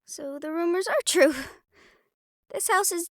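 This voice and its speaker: Woman playing high-voiced, anxious young woman. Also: measured pace, no room sound, studio quality. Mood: hesitant. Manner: hesitant, earnest, questioning. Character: anxious, bewildered young woman new to ghosts. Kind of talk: audio roleplay drama acting.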